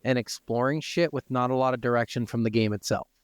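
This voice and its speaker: A man co-hosts a casual gaming podcast with a clear, smooth voice at a middling pitch. He talks informally and swears freely, recorded close and dry. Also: fairly even intonation, quick pace, no room sound. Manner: informal, profane. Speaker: podcast host.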